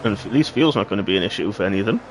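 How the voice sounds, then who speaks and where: A man giving live play-by-play commentary on a sim racing league, hoarse and clearing his throat after losing his voice. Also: lowish voice, rapid-fire, background noise. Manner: excitable play-by-play, rising sharply at incidents. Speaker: race commentator.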